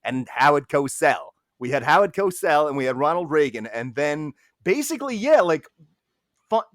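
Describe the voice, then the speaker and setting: A man's voice: fairly high pitch, big pitch swings, normal speed, a bit bright, no echo, studio quality. A middle-aged man chatting casually in an interview, rambling and interrupting himself. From United States.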